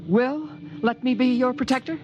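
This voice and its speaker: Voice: high-pitched, moderate pace, lo-fi sound, natural voice. A performer playing an invisible alien being, polite, insistent and precise in diction, in a 1950s radio drama. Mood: solemn, protective. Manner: formal, precise, earnest diction. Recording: noisy vintage radio recording.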